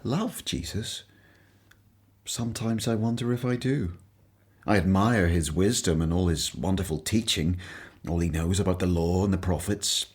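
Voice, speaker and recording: An actor playing a humble, self-doubting former tax collector in a radio play, earnest and hesitant. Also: male voice, sits low, darkish tone, slightly rough.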